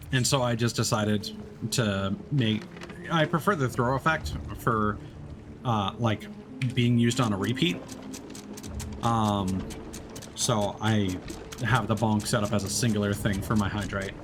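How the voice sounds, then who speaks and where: A man's voice: lowish voice, background noise. A man streaming a game, chatting casually and explaining as he plays, with lots of fillers and restarts. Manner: rambling, many fillers and restarts. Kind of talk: casual gameplay commentary.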